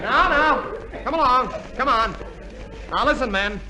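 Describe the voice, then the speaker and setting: A bumbling, excitable soldier in a 1950s radio comedy, full of bluster and cowardice. The voice is very high and animated, over a loud live audience and a noisy old recording. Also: male voice, unhurried, a loud crowd. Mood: bossy, eager. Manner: exclamatory, blustering.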